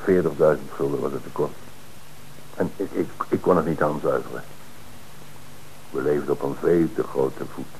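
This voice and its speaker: Deep-voiced, evasive bank director who stammers when cornered, old radio play with light hiss. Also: male voice, fairly even intonation, normal speed, gravelly, long pauses, darkish tone, rough recording. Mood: confessing. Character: flustered middle-aged male bank director caught lying. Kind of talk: radio drama acting.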